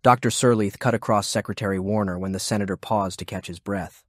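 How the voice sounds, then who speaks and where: A man narrates an audiobook from a script. His pitch is fairly low. The recording is dry, with no room sound. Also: steady tone, a little fast, dry recording.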